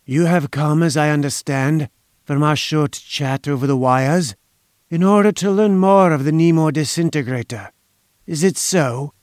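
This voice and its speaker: A man voicing an oily, boastful foreign inventor-conspirator in an audiobook, velvety and slow, with a slight foreign accent. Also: on the high side, a little mellow, no echo, studio quality, close to an everyday voice. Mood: smooth, obsequious. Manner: slow, obsequious, deliberate.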